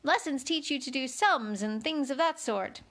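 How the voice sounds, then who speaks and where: A woman's voice: sits high, normal speed, fairly bright tone, voice pushed up. A woman voicing a polite, earnest, clever young girl who speaks carefully and objects politely, reading a storybook aloud.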